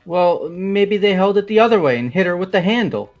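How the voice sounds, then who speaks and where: A man's voice: high-pitched, expressive, a little fast, darkish tone, muffled recording, soft background music. A man voicing a casual, blunt, sarcastic young man in a fan-voiced game. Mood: questioning. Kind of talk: fan-voiced visual novel game acting.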